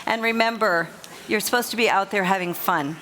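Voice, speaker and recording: A woman's voice: medium pitch, brisk, a bit bright. A woman giving informal, encouraging instructions to a group as a competition official. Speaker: competition official addressing finalists. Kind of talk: spoken address to a group, informal. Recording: live hall with crowd noise.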